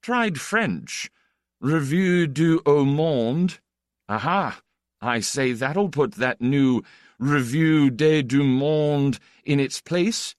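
Man plays flippant aristocrat for audiobook: ironic, urbane, self-assured, raised pitch with big swoops. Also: expressive, extremely slow, no room sound, clean studio sound. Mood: amused gossip. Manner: flamboyant, urbane, teasing. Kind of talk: audiobook character dialogue.

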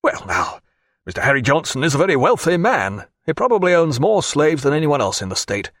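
A husky, high-pitched merchant frets and fusses in a voice that leaps up and down. He pleads and grumbles by turns, easily worked up, as a narrator puts him on. The recording is close and dry. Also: fairly quick, no echo, exaggerated voice. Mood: confiding. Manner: fussy, excitable, pleading. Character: fretful middle-aged Boston merchant man. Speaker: former loyalist and merchant.

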